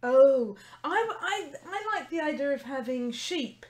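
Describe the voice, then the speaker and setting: A woman with an English accent co-hosting a casual livestream, chatty and playful, quick to agree. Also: high-pitched, animated. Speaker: co-host.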